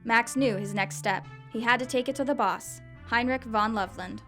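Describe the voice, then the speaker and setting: A woman playing the storyteller of a noir audio drama, narrating scripted lines over clear music. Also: a little high, normal speed, natural voice. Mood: suspenseful. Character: female storyteller of noir detective mystery. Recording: music behind the voice.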